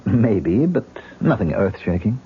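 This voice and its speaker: A man's voice: lowish voice, very animated, measured pace. An actor playing a troubled male stage actor, guarded and defensive, then anguished and bitter, in a 1970s radio drama. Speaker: patient. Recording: tinny lo-fi radio broadcast with faint hiss.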